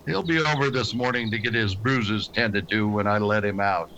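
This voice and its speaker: An actor playing a weary, stern frontier marshal in a grave radio western style. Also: male voice, lowish voice, moderate pace, sharp tone, dull audio, a little hiss or hum. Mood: dry, easygoing. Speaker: lead actor and narrator. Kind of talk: old-time radio western drama acting.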